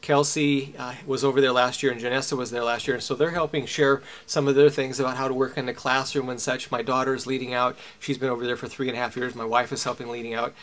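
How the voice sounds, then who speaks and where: A man's voice: mid-range voice, level tone, quick pace, hardly any pauses, fairly bright tone. A middle-aged man with an American accent, introducing and explaining a programme informally as its host and teacher. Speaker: host and boot camp teacher.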